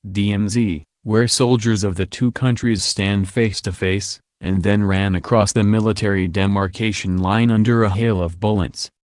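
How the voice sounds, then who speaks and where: A man delivers a scripted news narration in a fairly low voice. His reading is formal and sticks closely to the written copy. The sound is dry, with no room reflections. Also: a little mellow, no room sound.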